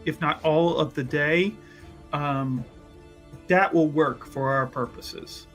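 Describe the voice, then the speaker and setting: A self-important, smooth-talking schemer acted by a man in a tabletop roleplaying game. His voice is bright and somewhat high for a male, grandiose yet halting and evasive. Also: soft background music. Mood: conspiratorial. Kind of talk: improvised in-character tabletop roleplay.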